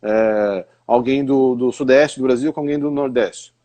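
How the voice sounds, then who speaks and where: A man's voice: mid-range voice, unhurried, mellow. A Brazilian man, an English teacher, explaining pronunciation in a live stream conversation, often asking rhetorical questions. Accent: Brazilian Portuguese.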